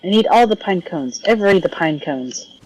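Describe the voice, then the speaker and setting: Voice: mid-range voice, expressive, normal speed, dry recording, faint music behind, low-quality recording. A streamer making playful, casual side comments to themselves while playing a game. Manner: playful, muttering to self. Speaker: gameplay streamer.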